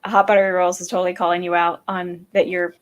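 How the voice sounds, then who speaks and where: A woman's voice: fairly low pitch, level tone, fast. A woman guesting on a whiskey livestream, chatting casually in a giggly, playful way. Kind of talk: casual chat.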